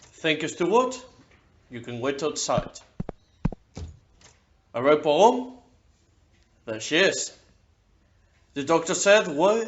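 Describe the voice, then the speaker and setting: Man acting a slow, sober ship's captain. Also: a little high, dull audio. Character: sober, matter-of-fact male ship's captain. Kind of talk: audio play acting.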